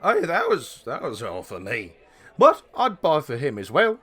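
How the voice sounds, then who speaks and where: A man's voice: mid-range voice, wildly swooping pitch, measured pace, sharp tone, husky, full character voice. A man playing a cheeky, boisterous, hard-drinking fighter in a fantasy audio drama. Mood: playful protest. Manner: boisterous, playful.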